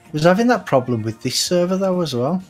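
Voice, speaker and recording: A man's voice: high-pitched, lively intonation, quick pace, faint music behind. A man bantering and teasing friends in a casual gaming voice chat. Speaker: co-player on stream.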